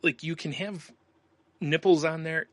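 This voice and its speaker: A man's voice: fairly high pitch, fairly even intonation, a little slow, fairly bright tone, no echo. An American man hosting a podcast in a casual, loose, rambling way. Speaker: podcast host. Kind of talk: casual chat, show plugs.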